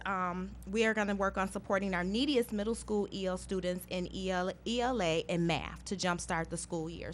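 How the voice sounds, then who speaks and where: Woman, school program presenter, mid-pitch, plain board-meeting delivery, a faint hum behind. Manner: conversational, earnest, with frequent fillers. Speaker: school district English learner program presenter.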